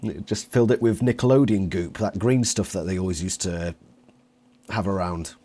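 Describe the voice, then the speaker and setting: A man's voice: deep voice, normal speed, mellow. A British man in his late twenties chatting casually and with opinions as an interviewer. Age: late 20s. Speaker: interviewer, longtime fan.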